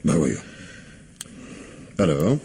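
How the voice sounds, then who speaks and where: Deep-voiced, sceptical police commissioner. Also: male voice, big pitch swings, warm tone, breathy edge, exaggerated voice. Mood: brisk, patient. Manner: questioning, dry, measured. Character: probing, sceptical, unhurried male police commissioner. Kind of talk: radio mystery drama acting.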